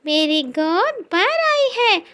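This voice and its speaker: A woman's voice: very high-pitched, very animated, slow, bright, forceful tone, voice pushed up, heavily acted. A woman voicing a sweet young female bird wife in a children's cartoon, with a cutesy, plaintive delivery. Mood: happy, shy.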